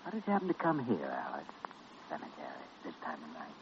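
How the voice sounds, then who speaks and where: Deep-voiced, reassuring doctor. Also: male voice, wildly swooping pitch, quick pace, exaggerated voice. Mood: gentle, probing. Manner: gentle, probing, reassuring. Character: calm, reassuring, inquisitive male hospital doctor. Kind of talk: old-time radio drama acting. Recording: dull, noisy vintage radio recording.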